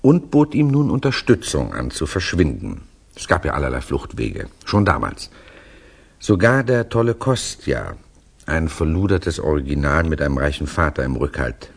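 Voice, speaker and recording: A man playing a knowing, reflective storyteller in a German radio play, explaining patiently and deliberately in conversation. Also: very deep voice, sing-song, creaky, husky, exaggerated voice. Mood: storytelling. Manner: deliberate, ruminative, gently correcting.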